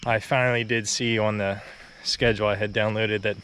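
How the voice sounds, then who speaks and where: A man's voice: fairly low pitch, fairly quick, a little hiss or hum. Young man vlogging while walking uphill. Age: young adult. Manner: relaxed and chatty, with many fillers and short laughs. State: slightly out of breath from walking uphill. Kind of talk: casual walking vlog. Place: outdoors on a quiet rural road, walking. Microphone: phone or action camera held at arm's length.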